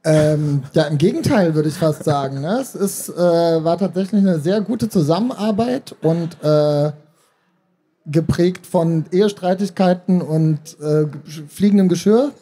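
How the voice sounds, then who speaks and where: A man's voice: on the high side, measured pace, mellow, slightly rough. A man in his thirties answering casually as a guest in a live interview. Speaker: podcast author being interviewed. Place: small stage at a book fair. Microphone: handheld microphone.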